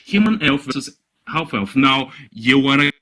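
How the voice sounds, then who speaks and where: A man with a bright, slightly rough voice reads aloud for comedy. He stops and starts, breaking into exasperated, incredulous asides in a casual, mocking way. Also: mid-range voice, lively intonation, normal speed. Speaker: reader on a comedy reading show.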